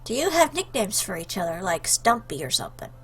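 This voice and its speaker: A woman's voice: medium pitch, big pitch swings, a little fast, fairly bright tone, slight background noise. A woman giving casual, jokey commentary as she plays a game, reading choices aloud in a playful, theatrical, teasing way.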